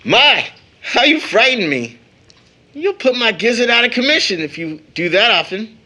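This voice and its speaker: A man's voice: extremely high pitch, very animated, moderate pace, sharp tone, full character voice. A man playing a jovial, blustery, teasing uncle in an audio stage drama. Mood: jovial, brisk.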